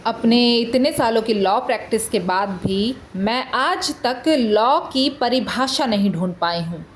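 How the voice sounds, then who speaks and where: A woman playing an impassioned, indignant prosecuting lawyer in a theatrical courtroom monologue, speaking with an Indian accent in deliberate, rhetorical phrases with dramatic pauses. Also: a little high, slight background noise. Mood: challenging, rhetorical.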